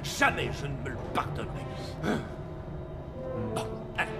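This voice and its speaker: A man's voice: a little high, sing-song, normal speed, creaky. A man playing an old, grumbly, self-reproaching Santa Claus in a cartoon, muttering and exclaiming theatrically. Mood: grumbling, ashamed. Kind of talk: animated cartoon dialogue. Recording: music playing behind the voice.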